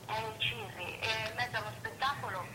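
A woman chatting casually over a phone played through a loudspeaker. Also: brisk. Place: at home, on the phone. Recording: noisy speakerphone call, low rumble.

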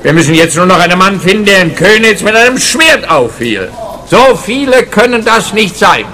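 An actor playing a pompous, dim, self-satisfied policeman in a comic radio play, blustering and excitable. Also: high-pitched, normal speed, heavily acted. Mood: excited deduction.

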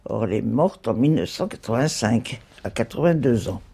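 An elderly woman in her eighties answers plainly and emphatically. Her pitch moves a lot, and her tone is mellow and dark. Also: animated, a little fast, husky. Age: 80s. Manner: plain, matter-of-fact, emphatic. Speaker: interviewee.

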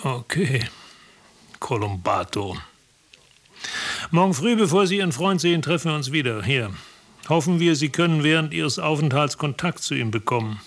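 An actor playing a cool, condescending army major and psychiatrist in a radio drama, formal, clipped and probing in manner. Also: male voice, a little high, lively intonation, normal speed, long pauses, fairly bright tone. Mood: brisk, commanding. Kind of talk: German radio drama acting.

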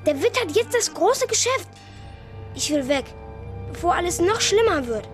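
High-voiced, defiant boy. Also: big pitch swings, moderate pace, soft background music. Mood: determined, bitter. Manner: bold, outspoken, insistent. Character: defiant, determined, protective boy, a child labourer. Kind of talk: children's radio play acting.

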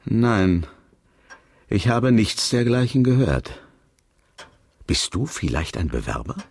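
A canny, teasing village uncle who runs a shop for a radio play. His voice is husky and creaky, and he gossips in big, lively swings of pitch. Music plays behind him. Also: slow pace, dry recording, clean studio sound, heavily acted. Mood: teasing, encouraging. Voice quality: husky, gravelly. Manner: animated, gossipy storytelling. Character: older French village shopkeeper uncle, chatty, canny, teasing. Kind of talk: German radio drama acting.

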